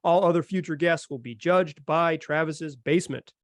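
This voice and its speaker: A man's voice: a little high, steady tone, moderate pace, no echo. An American man hosting a basketball podcast in a conversational style. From North Carolina, United States. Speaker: sports podcast host.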